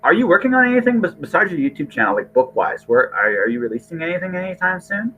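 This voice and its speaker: Husky, bright-voiced man chatting casually. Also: high voice, very animated, quick pace, highs cut off. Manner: informal, repeats and rephrases himself. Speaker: podcast host. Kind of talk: casual interview chat.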